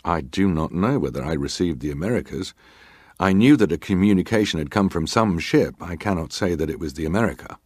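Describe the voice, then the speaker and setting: A man acting a middle-aged ship's officer in a dramatised reading of testimony, giving guarded, measured answers with clipped formal deference. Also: low voice, animated, a little mellow, no echo, studio quality. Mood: guarded, composed.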